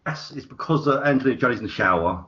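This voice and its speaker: A man with a mid-range voice chats casually as a panellist, haltingly and at his own expense, with some crude language. The recording is somewhat dull. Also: medium pitch, expressive, fairly bright tone. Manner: self-deprecating, crude, halting. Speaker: podcast panellist. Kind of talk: casual chat.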